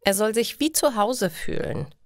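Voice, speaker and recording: A trusting, caring dog owner played by a female narrator speaks in a storybook reading. The delivery is slow, friendly and earnest, in a dry recording. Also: on the high side, expressive, dry recording, pitched above the performer's own voice. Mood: confident, caring.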